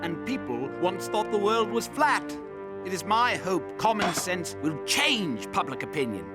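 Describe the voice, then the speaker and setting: A man voicing a fiery, idealistic revolutionary writer in an animated series, blunt and rhetorical. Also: sits high, a little slow. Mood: defiant. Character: fiery, idealistic, ragged male revolutionary pamphleteer. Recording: music behind the voice.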